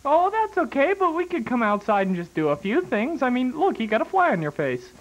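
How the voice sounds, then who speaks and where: A woman's voice: on the high side, very animated, a little fast, rough recording. A woman playing a pushy, bratty kid in an improvised comedy sketch, rambling and wheedling. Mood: coaxing. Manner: rambling, sing-song, wheedling.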